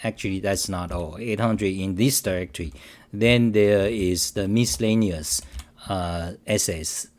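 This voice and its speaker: A man's voice: sits low, measured pace, darkish tone. A man hosting his own livestream, talking off the cuff about code, reading chat aloud and answering viewers, with many restarts and emphatic repeats. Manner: rambling, repetitive restarts, defensive and emphatic; reads chat aloud, addresses viewers directly. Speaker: live-stream talk show host. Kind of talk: unscripted coding livestream rant.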